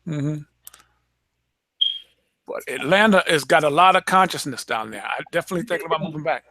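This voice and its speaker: A man's voice: a little high, a little fast, sharp tone. A man from Detroit, a book club member chatting in an easygoing way. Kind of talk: casual chat.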